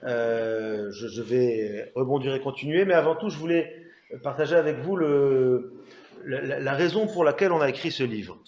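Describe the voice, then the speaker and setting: A man from France, a rabbi, speaking informally at a book talk, haltingly and often repeating words. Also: mid-range voice, darkish tone. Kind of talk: informal spoken anecdote to an audience. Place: indoor talk venue. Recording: echoey room, dull sound.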